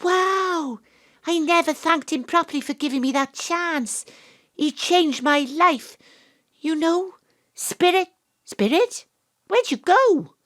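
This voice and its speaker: A woman's voice: very high-pitched, lively intonation, slow pace, a bit bright, exaggerated voice. An actress playing an earnest, troubled young Welsh woman who becomes a rugby captain, in an audio drama. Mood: astonished. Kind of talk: audio drama monologue and dialogue.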